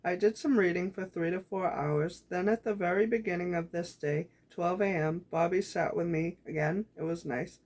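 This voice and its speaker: A woman vlogger reading her handwritten journal entries aloud in a calm, reflective way, her voice growing raspy. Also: lowish voice, moderate pace, hardly any pauses. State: voice getting raspy.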